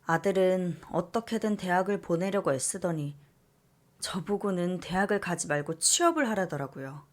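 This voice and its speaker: A woman narrating a personal story in a calm, measured way, as if reading it for listeners. Her voice sits a little low for a woman. The delivery is steady and scripted. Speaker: story reader.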